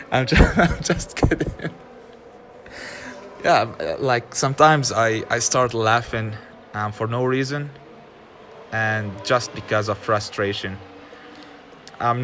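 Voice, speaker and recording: A man whose first language is Arabic gives casual commentary while playing a racing game, chatty and often frustrated, laughing and filling pauses with "um". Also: mid-range voice, a bit bright, slight background noise. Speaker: gaming channel host.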